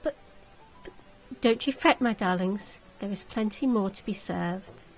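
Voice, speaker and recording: A woman voicing a suave young marquis in a gothic visual novel, speaking with measured, teasing courtesy. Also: medium pitch, close to an everyday voice. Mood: mock courtesy.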